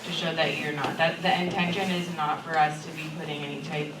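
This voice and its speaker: A woman's voice: low-pitched, level tone, fairly quick. A woman representing a project, answering questions from residents in a hesitant, hedging way. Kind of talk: answering questions at a public meeting. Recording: echoey room, far from mic, noisy.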